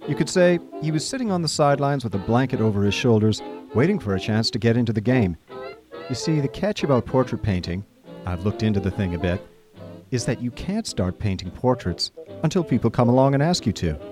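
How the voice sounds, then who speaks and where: A man playing a chatty, idle young gentleman who narrates a comic radio drama in breezy, old-fashioned upper-class phrasing. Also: medium pitch, animated, brisk, music in the background, natural voice. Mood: amused explaining.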